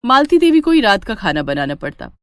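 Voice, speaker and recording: Animated woman narrating a family story. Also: medium pitch, brisk, dry recording.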